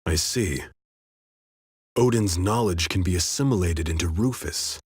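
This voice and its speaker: An actor voicing a grave, commanding man in a fantasy game, terse and formal, with a husky voice. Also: sits low, unhurried, no echo. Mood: grave, resolute.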